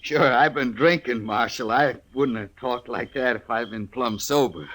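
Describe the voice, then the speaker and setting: Western radio heavy: craven American barfly gunman played by a man, tense edge, mid pitch, folksy and protesting. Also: normal speed, a bit bright, lightly acted. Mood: sheepish. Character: cowardly, boastful barfly gunman backing down. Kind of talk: old-time radio western acting. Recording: vintage 1950s radio recording.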